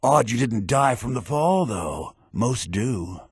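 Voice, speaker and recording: Sly, gravelly necromancer villain. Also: male voice, mid-range voice, lively intonation, slow, no echo, studio quality, full character voice. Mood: smug, coaxing. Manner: smug, falsely coaxing, muttering. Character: sly, cruel necromancer gleefully luring victims into a trap. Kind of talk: video game character acting.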